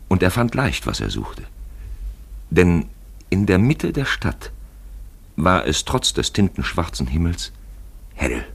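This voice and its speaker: Very deep, gravelly man narrating literary radio drama. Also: fairly bright tone.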